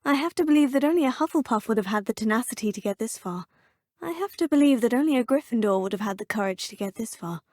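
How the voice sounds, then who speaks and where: A woman's voice: fairly high pitch, expressive, fast, a little mellow, no room sound, natural voice. An actress voicing a polite, determined young female student of magic in a video game, speaking in a courteous, composed, formal manner. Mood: calm. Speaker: player character voice. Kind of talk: video game dialogue lines. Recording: dry studio booth.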